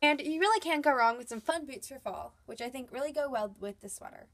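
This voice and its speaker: A woman's voice: high-pitched, lively intonation, fairly quick. A young woman with a cold, narrating a chatty, upbeat voice-over as she shows her dolls' outfits. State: has a cold. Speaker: doll collector vlogger. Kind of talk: scripted voice-over showing doll outfits.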